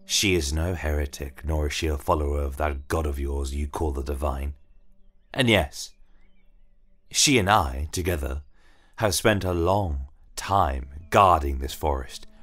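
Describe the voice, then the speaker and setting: A man voicing a small robin who is really a gentle, earnest druid guarding a forest, in a fantasy audio story. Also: rumbling low, heavily acted.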